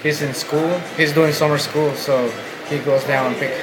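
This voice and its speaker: A man's voice: on the high side, steady tone, normal speed, over music, rough recording. A young man from Guatemala, co-owner of a restaurant, answering questions in a casual interview, often stopping and restarting his sentences.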